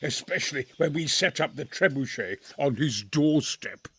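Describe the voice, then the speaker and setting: A proud medieval siege master talks in a husky, fairly high voice with very lively intonation. He is courteous and eloquent, in dramatic video game style. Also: moderate pace, full character voice. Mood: eager, courteous. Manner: formal, eloquent, expressive.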